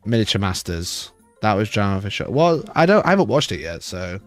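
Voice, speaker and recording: A man with a rough, hoarse voice, chatting casually on a livestream and rambling with frequent restarts. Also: fairly low pitch, big pitch swings, fairly quick, faint music behind. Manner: rambling, restarts mid-sentence. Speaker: livestream host.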